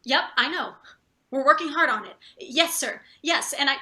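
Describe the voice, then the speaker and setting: Actress doing irritated lady boss, bossy and ruthless, high voice, super sharp n tense, play reading. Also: expressive, moderate pace, heavily acted. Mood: flustered, placating. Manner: curt, pressing, stammers deferentially to superiors. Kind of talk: live theatre table read.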